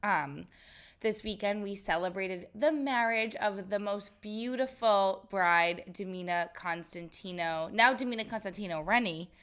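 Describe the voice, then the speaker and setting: A woman co-hosting a casual podcast with a stuffy, nasal, raspy voice from a cold, chatting in a bubbly way and tripping over her words. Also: mid-range voice, a little slow, fairly bright tone. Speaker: podcast co-host. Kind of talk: casual chat.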